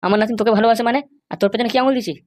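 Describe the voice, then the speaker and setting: Woman voicing a fast-talking angry old grandfather. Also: a little high, steady tone, a little mellow, a little hiss or hum, lightly acted. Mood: indignant. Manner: scolding, threatening. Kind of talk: amateur comedy cartoon dub.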